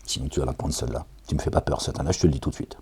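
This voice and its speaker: Male preacher, very deep voice, husky; reads scripture loosely, sounds tired, chatty asides. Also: fairly even intonation, racing, a little mellow. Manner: casual, digressive, chuckles, addresses listeners directly. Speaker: self-styled preacher reading and commenting on scripture. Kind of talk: informal scripture reading with commentary.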